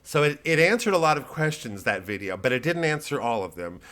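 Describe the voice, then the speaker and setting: A man who works as a comedian, talking in a casual, rambling vlog monologue with a deadpan delivery. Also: mid-range voice, a little fast. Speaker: comedian promoting his live show.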